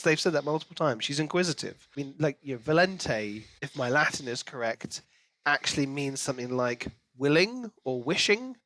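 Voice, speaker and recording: A man's voice: mid-range voice, a bit bright, no echo. A man co-hosting a podcast, chatting casually and enthusiastically about a book. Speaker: podcast co-host.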